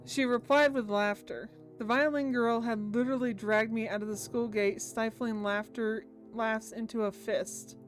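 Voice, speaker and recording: Higher-pitched woman streamer reading aloud. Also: steady tone, moderate pace, hardly any pauses, faint music behind. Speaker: game streamer. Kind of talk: reading visual novel translation aloud with commentary.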